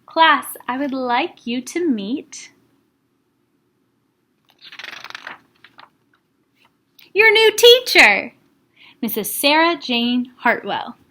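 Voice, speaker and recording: A bustling female school principal played by a woman reader, high-pitched and gushing. She is encouraging yet in command, in a children's storybook style. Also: very animated, takes it slowly, sharp tone, heavily acted. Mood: announcing. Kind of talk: children's storybook read-aloud.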